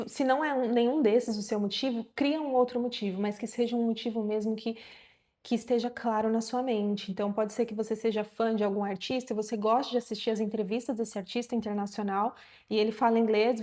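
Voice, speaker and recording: A woman's voice: on the high side, fairly even intonation, a little fast, a little mellow. A woman in her thirties from Brazil, talking casually to camera in an encouraging, chatty way with many fillers. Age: 30s. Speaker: vlogger.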